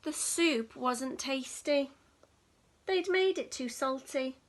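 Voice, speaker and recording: A woman reading a picture book aloud to young children in an expressive, dramatic storytelling manner. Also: high voice, slow. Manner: dramatic, with hushed whispers and gasps.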